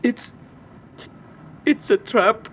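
An actor playing a terrified, badly beaten servant in a radio drama, stammering and pleading in pain. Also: male voice, drawn-out words, dull audio, a little hiss or hum. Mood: pained, panicked. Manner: stammering, repeating himself. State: in pain, distressed. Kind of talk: old radio drama acting.

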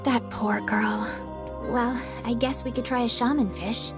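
A woman playing a calm, helpful young village advisor in a video game, explaining things in a measured way. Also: fairly high pitch, over music, close to an everyday voice. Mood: concerned, helpful. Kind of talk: game voice acting.

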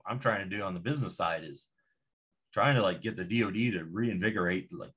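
A man's voice: low voice, a little fast, fairly bright tone, breathy edge. A man who grew up in Indonesia with American parents, talking as a podcast guest in casual chat, emphatic and profane, ranting with force.

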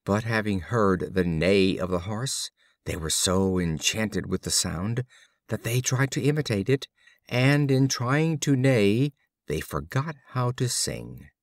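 A man reading fables as scripted audiobook storytelling, with measured, deliberate phrasing. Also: on the low side, animated, dry recording, studio quality. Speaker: audiobook reader.

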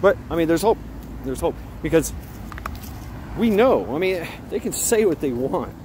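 A middle-aged American man chatting casually and haltingly in a rambling way while out walking, recorded on a handheld phone. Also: fairly high pitch, very animated. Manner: halting, breaking off to talk to a dog. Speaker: vlogger. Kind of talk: casual rambling walk-and-talk chat. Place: outdoors walking along a neighborhood sidewalk. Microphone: handheld phone at arm's length. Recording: outdoor street noise, passing cars.

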